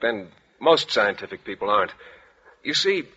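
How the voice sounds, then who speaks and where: An actor playing an earnest, shy, oblivious biology teacher with an American accent, speaking in a stiff, literal, hesitant way in 1940s radio comedy style. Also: male voice, on the low side, sing-song, normal speed, bright, forceful tone, husky, exaggerated voice. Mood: earnest, lecturing. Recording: muffled, crackly 1948 radio recording.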